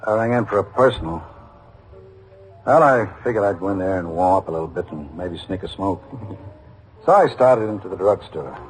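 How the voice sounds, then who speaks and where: In a vintage radio drama with music, a seasoned American detective. He is a man with a lowish voice who talks casually and colloquially, with no show about him. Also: animated, moderate pace, pauses often, poor audio. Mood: casual storytelling. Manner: colloquial, casual anecdote telling. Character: seasoned, unassuming plainclothes police detective. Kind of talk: old radio police drama acting.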